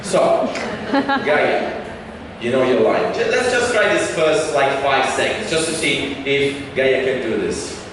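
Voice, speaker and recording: A man's voice: medium pitch, lively intonation, takes it slowly. A man doing stand-up and crowd work, chatty and joking with lots of asides and fillers. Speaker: stand-up comedian. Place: comedy club stage. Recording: echoey hall, distant mic, noise.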